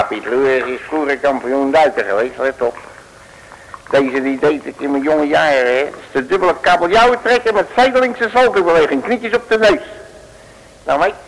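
A man playing a boastful, bumbling detective in an old radio play, chummy and quick to laugh. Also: on the high side, low-quality recording. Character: boastful bumbling male detective Piet Loeris. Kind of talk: 1950s radio play acting. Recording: old radio recording with faint hiss.

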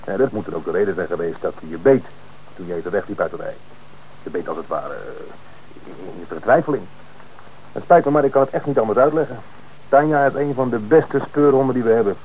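A man playing a calm police dog handler. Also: fairly low pitch, brisk, a little hiss or hum, natural voice, rough recording. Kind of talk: radio play acting.

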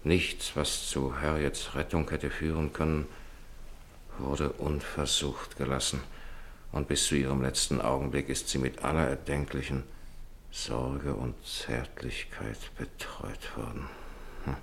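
Very deep, solemn parish priest. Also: male voice, little pitch movement, vocal fry, a bit bright, a little hiss or hum, heavily acted. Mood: solemn, consoling. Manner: grave, measured. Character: solemn, kindly parish priest offering consolation. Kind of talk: 1950s German radio drama acting.

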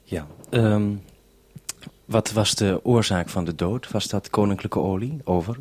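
A man's voice: on the low side, measured pace, a little mellow, slightly rough. A man presenting a live radio interview, putting probing questions in a hesitant, unscripted way.

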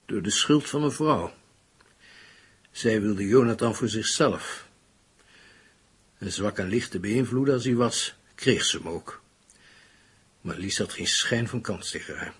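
Low, husky grieving father. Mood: wistful, sorrowful. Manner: measured, formal, halting. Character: grieving, protective middle-aged father, wistful and courteous. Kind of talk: Dutch radio drama acting.

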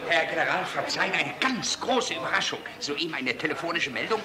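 A man's voice: fairly high pitch, lively intonation, brisk, lightly acted. A man acting an eager, deferential attendant amid chatter. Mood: officious. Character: eager, deferential male attendant bringing announcements. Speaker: actor in a radio play. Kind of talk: German radio drama acting.

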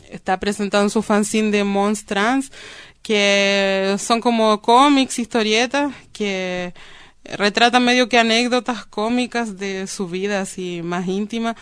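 Woman w clear smooth voice, casual interview chat, slangy, repeats words for emphasis. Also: mid-range voice, fairly even intonation, slow, a bit bright. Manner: informal, colloquial, emphatic repetition. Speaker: interview guest, activist.

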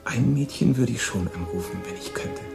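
A lonely, guarded teenage runaway in a radio play. The voice sits fairly low for a male. Music plays clearly behind it. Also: moderate pace. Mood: wistful, reflective. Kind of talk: German radio drama acting.

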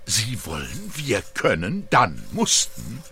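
An actor playing a self-absorbed, erratic artist in a radio play, speaking in halting fragments and abrupt outbursts. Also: male voice, fairly low pitch, huge pitch swings, pushed and bright, slight background noise, heavily acted. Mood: stammering, scattered.

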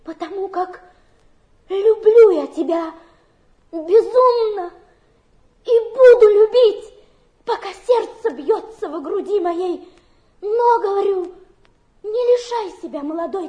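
An actress playing an overwrought, dreamy, tearful young fallen woman in a stage drama, with a drawn-out melodramatic delivery. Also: extremely high pitch, warm tone, exaggerated voice. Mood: tearful, fervent. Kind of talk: theatrical stage acting. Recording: archive stage drama recording.

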